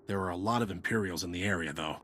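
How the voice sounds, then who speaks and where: Casting: weary-minded, dutiful trooper for a sci-fi game, low rough-edged voice, dry and serious. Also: male voice, fast talker, fairly bright tone. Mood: grim, dutiful. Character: gloomy, dutiful male soldier in the field. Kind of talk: scripted video game cutscene acting.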